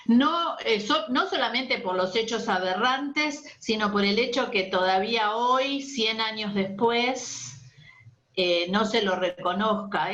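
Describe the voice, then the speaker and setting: Slow, hesitant woman on dull audio. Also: mid-range voice, a bit bright. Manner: many fillers and pauses, earnest. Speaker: interviewee. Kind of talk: hesitant, candid interview answers.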